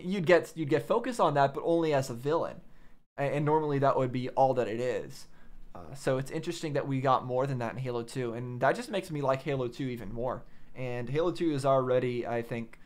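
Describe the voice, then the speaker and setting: A young man with a medium-pitched voice gives off-the-cuff commentary on a game. He speaks haltingly, repeating phrases as he works out his thoughts aloud. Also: a bit bright. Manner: halting, repetitive, thinking aloud. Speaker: reaction video host.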